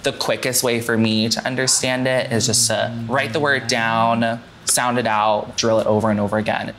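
A man's voice: mid-range voice, level tone, normal speed, fairly bright tone. A young man, a university student, talking earnestly and sounding out words, partly in his room and partly on a city street. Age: young adult. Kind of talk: vlog-style documentary talk. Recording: music bed under voice. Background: music.

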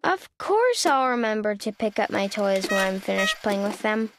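A woman's voice: medium pitch, big pitch swings, normal speed, noisy background. A woman narrating a children's storybook expressively, voicing an earnest, forgetful little boy critter with a childlike manner. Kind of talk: expressive children's read-aloud in a young child character's voice.

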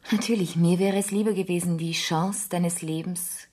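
A woman's voice: fairly low pitch, fairly even intonation, normal speed, darkish tone, slightly rough. An actress playing a wary, loving woman in a radio play, speaking in a guarded, serious manner. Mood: tender, resigned. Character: wary, loving woman, doubtful of her ex-husband. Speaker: actor. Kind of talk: German radio play acting.

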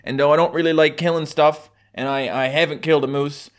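A man's voice: a little high, steady tone, a little fast. A man in his twenties from Newfoundland with a strong Newfoundland accent, joking and self-mocking as he talks to camera from a small room at home. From Newfoundland, Canada. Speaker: YouTuber. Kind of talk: casual vlog to camera.

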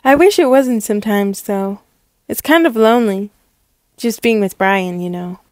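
A woman voicing a bookish, lonely, reluctant schoolgirl in a deadpan, sulky way for an animated story. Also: medium pitch, moderate pace, dry recording, studio quality, close to an everyday voice. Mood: resigned, lonely. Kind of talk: animated roleplay story acting.

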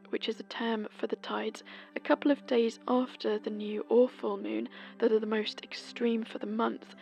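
A woman from Britain, host of a folklore podcast, reading a scripted seasonal almanac in a gentle, whispery way. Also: a little high, gravelly.

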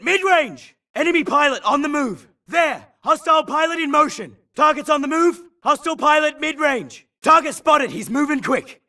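A man's voice: extremely high pitch, sharp tone, far from the mic, exaggerated voice. A man playing an urgent, tough, disciplined combat soldier in a video game, barking clipped military battle calls. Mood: focused, grim. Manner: clipped, urgent radio-call phrasing. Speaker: game voice actor.

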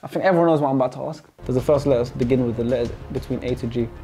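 A man's voice: medium pitch, very fast, music in the background. A man in his twenties hosting a guessing game, trading teasing, competitive trash talk with his opponent. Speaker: channel host. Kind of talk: casual game banter. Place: indoor lounge room.

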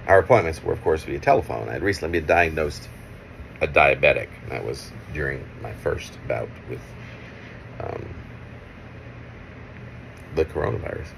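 A middle-aged man reading his own poem aloud in halting, measured pieces, with casual asides. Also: rumbling low, gravelly, noisy background. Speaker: poet reading own work. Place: small room at home, indoors. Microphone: phone at arm's length.

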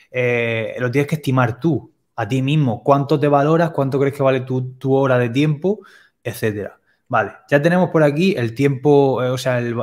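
A young man calmly explaining pricing step by step on a video call, headset mic in a home room. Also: medium pitch. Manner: plain, step-by-step explanations with quick asides. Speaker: web development teacher, guest expert.

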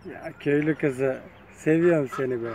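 A man's voice: a little high, normal speed, a little mellow, a little hiss or hum. A man talking casually to his viewers while filming his chickens in an outdoor yard on a phone camera, chatty and coaxing. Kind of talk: casual vlog talk to viewers.

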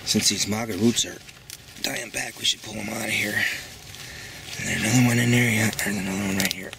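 A man's voice: lowish voice, brisk, creaky. A man giving a casual walkthrough of his backyard garden, talking in a rambling, folksy way as he thinks aloud. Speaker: home gardener. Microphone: handheld phone camera. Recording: faint outdoor background noise.

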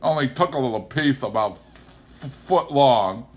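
Old rustic farmer, put on by a male narrator, raised pitch, defensive and muttering, comic novel. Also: expressive, measured pace, lightly acted. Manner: rustic, grumbling self-excuse.

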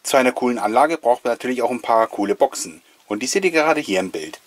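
A man's voice: medium pitch, lively intonation, fast talker, no echo. A middle-aged man giving a casual, nostalgic voice-over commentary, reminiscing with wistful enthusiasm as the host. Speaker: host of a collectors' video channel.

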